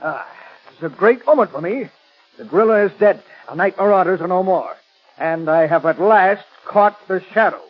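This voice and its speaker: Blustery gentleman commissioner, formal, pitched high, lo-fi vintage radio sound. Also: expressive, normal speed. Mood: triumphant. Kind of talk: 1930s radio drama acting. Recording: dull lo-fi 1930s radio recording.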